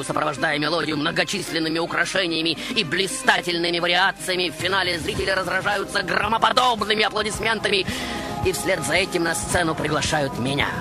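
A man's voice: high-pitched, close to an everyday voice. A man playing a proud, flamboyant baroque composer recounting his life in a grandiose, ironic radio monologue. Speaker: radio show host. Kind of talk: theatrical first-person radio monologue. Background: music behind the voice.

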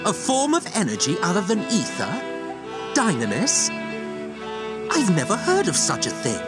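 Animated, inquisitive young male scholar. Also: high-pitched, moderate pace, over loud music. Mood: surprised. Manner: articulate, inquisitive. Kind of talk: dramatic video game dialogue. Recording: loud orchestral strings behind the voice.

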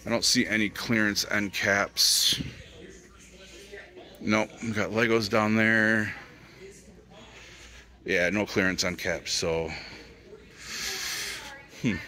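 This is a man with a fairly low voice that still cuts through brightly. He chats casually while browsing toy shelves, rambling and naming items as he spots them, excited by each find. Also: slow pace, pauses often. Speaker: toy collecting vlogger. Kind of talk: casual store walkthrough commentary. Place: retail store aisles.

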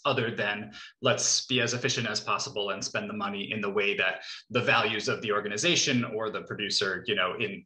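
A man's voice: on the low side, sharp tone. A man in his thirties moderating a panel discussion on a video call, thinking aloud with some hesitation. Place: small home room with couch, indoors. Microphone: video call, laptop at desk distance. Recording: roomy, echoing video call audio.

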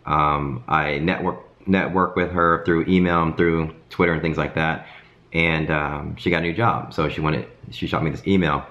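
Casting: man in his 30s, deep voice, slightly hoarse, talking casually to camera at home. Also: moderate pace, lo-fi sound. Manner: animated hand gestures, frequent "um" and "okay?". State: hoarse from a cold. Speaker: design career vlogger. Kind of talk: casual advice talk to camera. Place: home kitchen, indoors.

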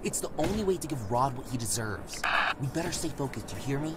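A man's voice: medium pitch, expressive, fairly quick, distant mic, noisy background, lightly acted. An actor playing a determined, bossy young boy in a video game cutscene. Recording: music behind the voice.

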